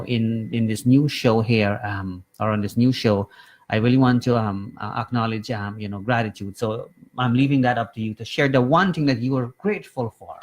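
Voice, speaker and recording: Male co-host, softly encouraging, filler-heavy, casual. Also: mid-range voice. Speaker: talk show co-host. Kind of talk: casual interview chat.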